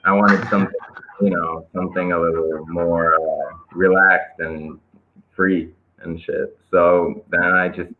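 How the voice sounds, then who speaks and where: A man's voice: sits low, flat delivery, a little slow, a little hiss or hum. A young man from Israel with an American-tinged Israeli accent, chatting casually as an interview guest, loose and hesitant with lots of fillers. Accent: American-influenced English, Israeli. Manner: laid-back, hesitant with many fillers and restarts. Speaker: guest skateboarder. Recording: tinny phone-line call audio.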